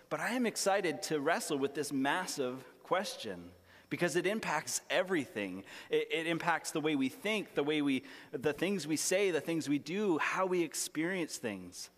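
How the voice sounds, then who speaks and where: A young male pastor delivers his sermon in a raspy voice that sits a little high. He is earnest but conversational, poking fun at himself. His words ring with the long reverb of a large hall. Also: a bit bright. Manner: earnest, self-deprecating, conversational with humor. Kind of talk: sermon with personal stories.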